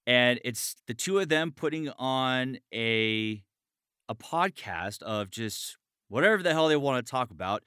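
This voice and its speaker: A man's voice: mid-range voice, lively intonation, unhurried, pushed and bright, clean tone, no room sound, clean studio sound. A man hosting a show in a casual, chatty interview style. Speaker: show host.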